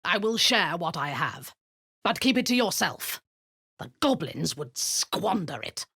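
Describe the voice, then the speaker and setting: A woman's voice: fairly low pitch, very animated, a little slow, bright, forceful tone, breathy edge, dry recording, studio quality, full character voice. An actress voicing a cruel, zealous female warlord in a video game, giving orders in a cold, commanding tone with hissed half-whispers. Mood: menacing.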